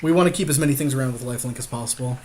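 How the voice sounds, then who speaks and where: A man's voice: mid-range voice, very fast, a little mellow, a little hiss or hum. A man co-hosting a podcast, casually weighing options with a partner during a card game. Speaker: podcast co-host. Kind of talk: casual strategy chat while playing a card game.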